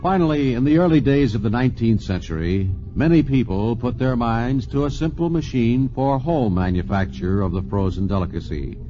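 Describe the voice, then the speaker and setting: A man giving measured, announcer-style scripted narration for an old radio documentary. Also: medium pitch, animated. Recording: dull old radio broadcast with music.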